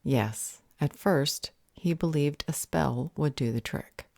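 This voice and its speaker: A woman acting a composed, cryptic vampire healer in an audio roleplay drama, speaking in a measured, polite and dryly sarcastic way. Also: deep voice, creaky, darkish tone, no echo, clean studio sound, heavily acted. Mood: grave.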